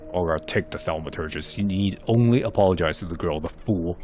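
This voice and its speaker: A man's voice: deep voice, brisk, darkish tone, a little hiss or hum, close to an everyday voice. A man voicing a blunt, sardonic conspirator in a fantasy game, speaking urgently in archaic phrases. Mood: urgent, scornful. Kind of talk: amateur narrated fantasy game cutscenes. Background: music.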